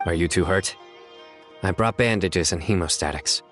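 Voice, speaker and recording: Over light music, an actor plays a commanding officer in a video game with a low, deep voice. He is terse and composed, stern yet protective. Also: normal speed, close to an everyday voice. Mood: composed, businesslike. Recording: music behind the voice.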